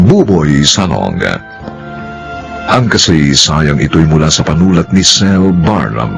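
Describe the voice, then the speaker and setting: A man's voice: sits low, gravelly. A man narrating a scripted radio drama in a solemn, dramatic announcer style. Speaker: narrator and director.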